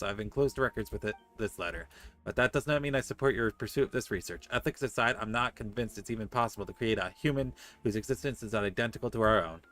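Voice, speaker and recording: A man streaming a game, reading its text aloud and dropping in casual, joking commentary. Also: medium pitch. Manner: joking asides between read passages. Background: soft game music.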